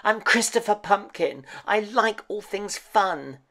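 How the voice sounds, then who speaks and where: A woman's voice: medium pitch, expressive, takes it slowly, a bit bright, pitched above the performer's own voice. A woman voicing a cheerful, kindly male pumpkin in a storybook, friendly and eager. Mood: worried, determined.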